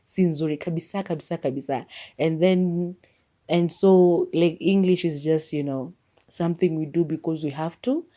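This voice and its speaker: A woman's voice: deep voice, normal speed, dark tone. A woman with a Kenyan accent, whose first language is Maragoli, rambling in a chatty way through a casual solo podcast as its host. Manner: chatty and rambling, leans on "like" as filler.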